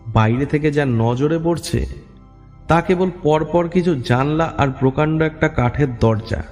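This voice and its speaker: A man's voice: mid-range voice, darkish tone. A man narrating a horror story in a dramatic, atmospheric way. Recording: long reverb, music underneath. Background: music.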